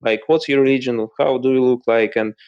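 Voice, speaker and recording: A man from Russia with a Russian accent, a company CEO answering interview questions in plain, slightly halting English. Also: mid-range voice, steady tone, smooth, lo-fi sound. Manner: plain, slightly halting non-native phrasing. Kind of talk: casual interview chat.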